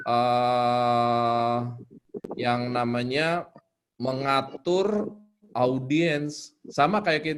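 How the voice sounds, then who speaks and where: A man talking casually in an online workshop, explaining informally as a storyteller giving tips. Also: mid-range voice. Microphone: video call. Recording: noisy online video call.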